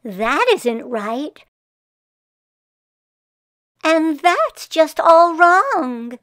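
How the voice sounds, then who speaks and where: A woman voicing an earnest, easily exasperated female tree in a children's picture book, reading rhymed lines in a deliberate, expressive way. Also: high voice, dry recording, clean studio sound, voice pushed up, exaggerated voice. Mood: frustrated.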